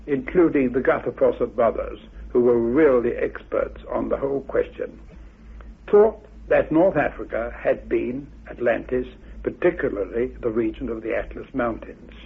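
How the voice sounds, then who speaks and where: A man gives measured, scholarly answers in a radio interview. His voice is creaky and rough, with polite, formal phrasing. The recording is dull and band-limited. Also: medium pitch. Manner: courteous, formal, politely dismissive. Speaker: interviewed expert on Atlantis theories.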